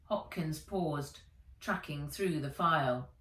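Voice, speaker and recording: Female storybook narrator, unhurried and lowish in pitch; roomy sound, a little distant. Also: fairly bright tone. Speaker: author reading own book aloud. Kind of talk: children's audiobook narration. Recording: roomy echo, distant from the mic.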